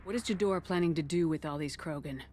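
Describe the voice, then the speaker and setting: An actress playing a tough, composed military commander in a space video game, terse, dry and in command. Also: female voice, low-pitched, fast, a little mellow, slight background noise, close to an everyday voice. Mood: cool, commanding. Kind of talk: video game cutscene acting.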